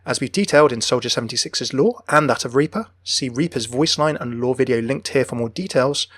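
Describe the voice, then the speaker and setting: A man's voice: medium pitch, brisk, fairly bright tone, no echo. A young man hosting a gaming channel, delivering scripted lore commentary in an enthusiastic manner. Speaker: video game channel host. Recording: dry close voice over music. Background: background music.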